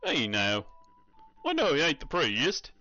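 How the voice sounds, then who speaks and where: A man as a Southern US cowboy ghost, folksy drawl, laid-back, teasing, animated pitch, audio drama, dull dry recording. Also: measured pace, soft background music, exaggerated voice. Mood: laid-back, candid.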